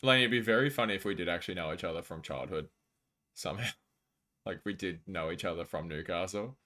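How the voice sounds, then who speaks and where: A man's voice: low voice, brisk, bright, forceful tone. An Australian man live streaming, chatting casually and jokily with viewers as he reads out their messages.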